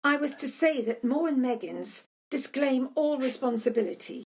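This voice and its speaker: Casting: woman as restrained, official company messenger for radio play; high-pitched, husky, stiffly formal. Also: expressive, moderate pace, exaggerated voice. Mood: formal, uneasy. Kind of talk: amateur radio play acting.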